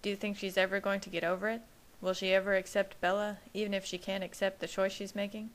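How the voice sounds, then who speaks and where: A woman's voice: medium pitch, flat delivery, fast, lightly acted. Woman doing a young man, brooding, tense, muttering. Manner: muttering, anxious.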